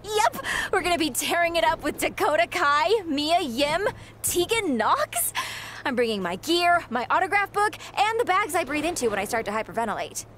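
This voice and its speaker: A woman's voice: high voice, sing-song, moderate pace, a bit bright, slight background noise. Bubbly fangirl wrestler. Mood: giddy. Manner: bubbly, hyper, squealing. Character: excitable fangirl woman wrestler.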